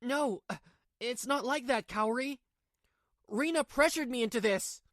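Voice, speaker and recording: A woman's voice: a little high, unhurried, no echo, studio quality, lightly acted. A woman voicing a weak, cheating husband in a manga dub, stammering and pleading. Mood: flustered.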